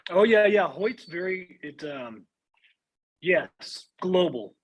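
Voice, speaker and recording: Man, husky, higher voice, hesitant explainer, video call. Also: lively intonation, slow, lo-fi sound. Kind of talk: casual video call chat.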